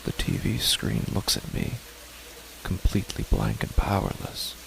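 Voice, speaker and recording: A man voicing a grieving parent alone in a dark, silent house, speaking in a slow, hushed, dreamlike monologue for a horror story. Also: a bass voice, very animated, vocal fry, background noise, full character voice. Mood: eerie, hushed. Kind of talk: first-person horror narration.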